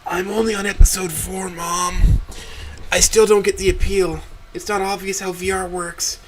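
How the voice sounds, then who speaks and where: Skeptical girl done by a male storyteller, higher-pitched, blunt, unimpressed delivery. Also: little pitch movement, moderate pace, noisy background, natural voice. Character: skeptical, blunt young daughter needling her family.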